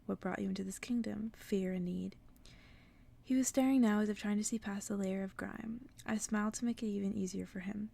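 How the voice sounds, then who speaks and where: A woman reading a story aloud in a soft, breathy, unhurried ASMR whisper, as if to send the listener to sleep. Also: mid-range voice.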